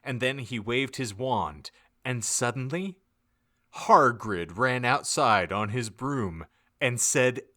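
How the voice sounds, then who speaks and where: A man giving a mock-dramatic, theatrical narration of a comedic audiobook, with deliberate emphasis. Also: medium pitch, animated, bright, forceful tone. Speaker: podcast narrator.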